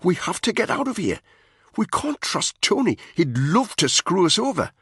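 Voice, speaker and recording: A man's voice: high voice, sing-song, moderate pace, fairly bright tone, slightly rough, no echo, clean studio sound, pitched above the performer's own voice, heavily acted. A man voicing a worried male soldier pleading with his friend, in an audiobook reading. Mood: urgent. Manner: pleading, urgent, concerned.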